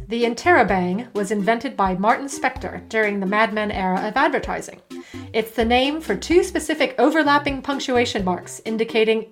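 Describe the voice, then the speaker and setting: A woman with a fairly high voice runs a podcast quiz, reading each question crisply. She teases her co-host now and then. Soft music sits faintly underneath. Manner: playful, teasing, reads questions crisply.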